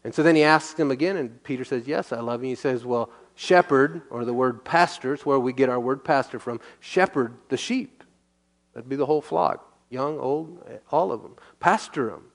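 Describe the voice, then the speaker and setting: A male pastor at a middle pitch reads scripture aloud, then breaks it down in an easy, conversational way. He often poses a question and answers it himself as he teaches verse by verse. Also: moderate pace. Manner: asks and answers his own questions.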